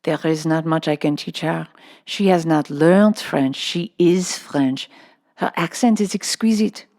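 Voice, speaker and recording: A woman plays a middle-aged French gentleman who teaches languages in a children's storybook. He is gentle, courteous and kindly, with a lightly creaky voice. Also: low voice, unhurried. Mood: delighted.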